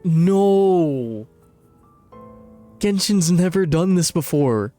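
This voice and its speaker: A man's voice: sits high, expressive, drawn-out words, mellow. A man doing casual, profanity-laced live game commentary and banter, with a blunt, teasing, slangy manner. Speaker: game streamer. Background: music.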